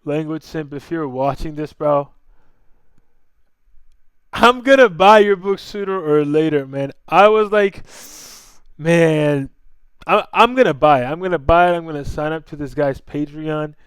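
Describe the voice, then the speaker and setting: Young guy doing casual reaction commentary, super slangy, clear smooth voice, kinda high, no room echo. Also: unhurried, long pauses. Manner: slangy, informal. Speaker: reaction video host.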